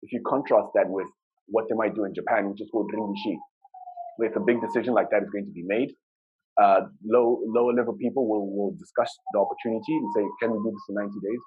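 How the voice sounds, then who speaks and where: A man's voice: lowish voice, fairly even intonation, fast, phone-quality sound, slight background noise. A man from Zimbabwe whose first language is Shona, giving a conversational conference talk with filler words and restarts. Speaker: conference speaker.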